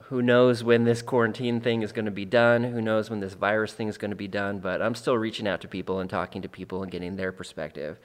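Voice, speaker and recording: A man's voice: on the low side, fairly even intonation, fast, few pauses, in a large hall. A man hosting a podcast, talking reflectively and repeating phrases for emphasis, then interviewing a guest. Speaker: podcast host. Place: Central Oregon.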